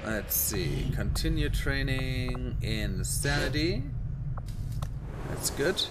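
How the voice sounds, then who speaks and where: A man's voice: mid-range voice, expressive, slow pace. A man hosting a gaming video, giving casual let's play commentary in short, offhand remarks. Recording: loud game music behind the voice. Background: loud game music.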